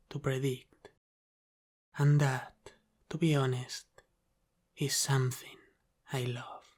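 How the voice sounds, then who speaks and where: Casting: male actor as Italian-American mob boss for ASMR roleplay. Extremely slow, even-toned, calmly menacing and teasing, often sinking to a whisper. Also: medium pitch. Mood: teasing menace. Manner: deliberate, halting, teasing, often dropping to a whisper.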